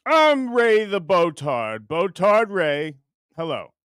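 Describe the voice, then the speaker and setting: A man's voice: sits high, very animated, takes it slowly, a bit bright, clean tone, no room sound, clean studio sound, exaggerated voice. A man voicing a whiny, entitled puppet comedian in an exaggerated, self-important parody monologue. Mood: indignant, whiny. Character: whiny, entitled, high-voiced puppet comedian, indignant diva.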